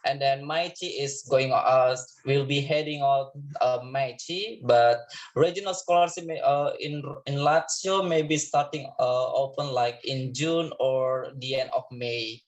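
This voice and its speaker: A man's voice: mid-range voice, steady tone, a little slow, lo-fi sound. An Indonesian man moderating an online info session over a video call, talking with frequent hesitations and restarts. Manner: hesitant, many fillers and restarts.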